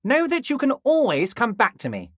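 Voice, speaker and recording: A smooth, ingratiating middle-aged union boss in a video game, slyly patronizing and always drawing out his words. His voice sits fairly high for a man and roams up and down expressively. It is recorded dry and close, with no room sound. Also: wildly swooping pitch, moderate pace, no echo, exaggerated voice. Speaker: dock union leader character.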